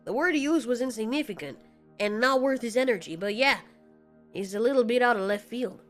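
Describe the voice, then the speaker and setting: A woman's voice: a little high, expressive, fairly quick, faint music behind, natural voice. A woman voicing a cold male fire demon kaiju in an audio story, blunt, sardonic and slangy. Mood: matter-of-fact.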